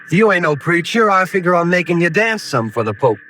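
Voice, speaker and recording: Spoiled young cowboy bully, high-pitched, taunting Western drawl, boastful, old radio drama with faint noise. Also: male voice, very animated, brisk, bright, forceful tone, full character voice. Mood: taunting, rowdy. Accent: American Western. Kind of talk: 1950s radio Western acting. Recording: noisy vintage radio recording.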